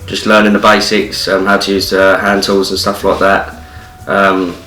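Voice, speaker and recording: A young English man speaks hesitantly in a low, flat voice, full of fillers. He sounds distant in a roomy space, with soft music behind. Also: normal speed. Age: young adult. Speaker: qualified apprentice. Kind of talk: casual interview answers.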